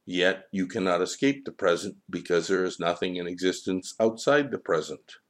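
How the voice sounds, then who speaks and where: A man's voice: deep voice, expressive, normal speed. A man voicing a stern, wise boy in a storybook, admonishing in solemn, declarative sentences. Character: stern, wise, all-knowing boy child, calmly admonishing. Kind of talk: storybook character dialogue.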